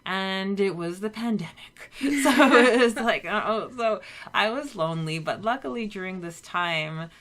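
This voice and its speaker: A woman's voice: mid-range voice, measured pace, fairly bright tone. A woman in her thirties from Canada co-hosting a podcast, talking in a casual, conversational, reminiscing way. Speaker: podcast co-host.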